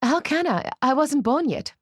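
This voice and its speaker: A woman voicing a girlish, excitable old bride who is deluded and frantic, in a dramatic story reading. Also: medium pitch, fairly quick, no echo, clean studio sound, voice pushed up. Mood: hysterical. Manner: girlish, playful turning distressed.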